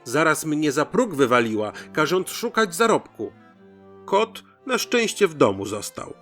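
A man's voice: fairly high pitch, very animated, measured pace, a bit bright, soft background music. A man voicing a humble, naive peasant in a folk tale, rambling hesitantly in folksy speech with little hums. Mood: humble, nervous. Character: humble, naive young peasant man pleading his story.

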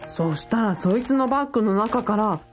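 A woman's voice: a little high, expressive, moderate pace, faint music behind, natural voice. A woman playing a polite, eager young man who works in a bookshop, deferential and explanatory, in a radio drama. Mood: polite, reporting. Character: polite young man, bookshop part-timer, eager self-styled fiancé.

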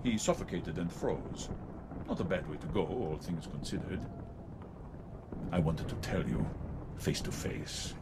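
A ruthless middle-aged commissioner in a sci-fi audio drama, with a deep voice that swings widely between silky gloating and menace. His lines are buried in loud background noise. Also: very animated, unhurried, vocal fry, long pauses, heavily acted. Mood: smug, gloating. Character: smug, murderous, ruthless middle-aged male police commissioner. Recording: video game audio, loud noisy background.

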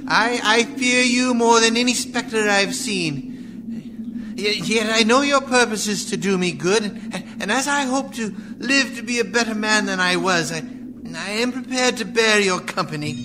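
An actor playing a frightened, humbled old miser who stammers and pleads in a radio play. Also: male voice, high voice, unhurried. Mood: fearful, resigned. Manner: stammering, hesitant. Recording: clear background noise.

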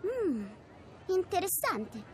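Game casting: cheeky, persistent street kid, a girl with a very high pitch, busy noisy background. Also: sing-song, slow, full character voice. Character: bold young Indian street girl, cheeky little hustler. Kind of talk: video game voice acting. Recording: noisy busy market backdrop.

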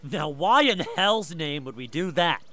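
A man's voice: fairly high pitch, expressive, measured pace, pushed and bright. A man playing a brash, mischievous teenage prankster in an audiobook. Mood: brash, scheming. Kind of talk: audiobook character acting.

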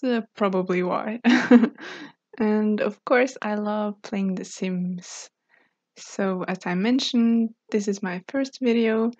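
A young woman from Norway, speaking English as a second language, narrates casually over her gameplay in a soft, mellow voice. She sounds friendly and chatty, with fillers and small laughs. The recording is dry and slightly dull. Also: medium pitch, no room sound. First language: Norwegian. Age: 20s. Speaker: Sims speed-build YouTuber.

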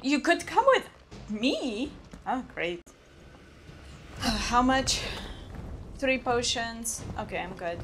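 A woman's voice: fairly high pitch, big pitch swings, very slow, long pauses, fairly bright tone, a little hiss or hum. Chatty woman gamer with a cold. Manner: chatty, reactive, exclaims at the game. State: has a cold. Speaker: gaming YouTuber. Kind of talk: casual playthrough commentary. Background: video game music.

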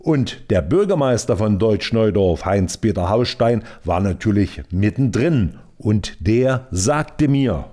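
A man's voice: lowish voice, animated, slow, hardly any pauses, dark tone. A man narrating a scripted regional TV news report as the reporter.

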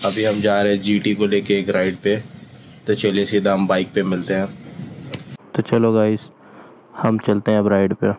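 A man's voice: fairly low pitch, level tone, normal speed, long pauses, darkish tone. A man chatting informally to viewers in short remarks, as a motorcycle vlogger. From India. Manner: informal, talking to viewers in short remarks while busy with the bike. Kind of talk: casual motovlog chat. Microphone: action camera setup with helmet. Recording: outdoor vehicle and street noise.

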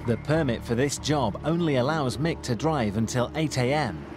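A man's voice: medium pitch, moderate pace, background noise. A man reading scripted, suspenseful television documentary narration in short punchy phrases. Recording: voice-over over loud music. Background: music.

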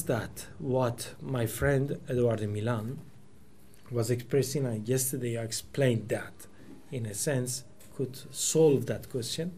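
A man's voice: medium pitch, a little mellow, husky. A man in his forties whose first language is Persian, giving a reflective talk in a small meeting room. He searches for words as he goes, restarting phrases often. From Iran. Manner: thoughtful, searching for words, many restarts and fillers. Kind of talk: reflective lecture. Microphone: table microphone.

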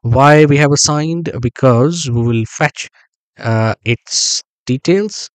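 A man explaining a database build step by step while working on screen, thinking aloud with frequent pauses and small self-corrections. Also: mid-range voice, dry recording. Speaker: software tutorial instructor. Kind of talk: screen-recorded tutorial narration.

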